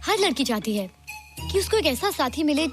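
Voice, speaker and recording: An actress playing a gentle, sensible young woman in film drama, explaining things patiently. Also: sits high, big pitch swings, a little fast, soft background music. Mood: gently explaining. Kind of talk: Hindi film drama dialogue.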